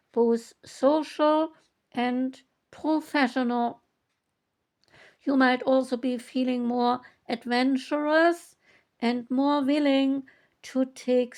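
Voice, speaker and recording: High-voiced woman reading oracle cards slowly. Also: darkish tone. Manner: slow, measured reading with long pauses. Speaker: oracle card reader. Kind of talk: reading oracle guidebook text aloud.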